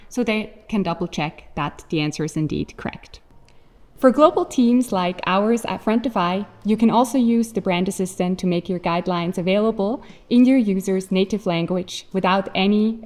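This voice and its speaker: A woman whose first language is German, giving a scripted, measured product keynote presentation. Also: medium pitch, darkish tone.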